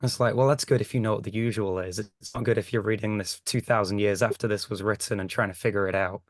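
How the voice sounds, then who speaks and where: Croaky low-voiced man, dry sound. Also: fairly even intonation, fast, no room sound. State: croaky voice after a chest infection. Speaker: podcast co-host. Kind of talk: casual podcast chat.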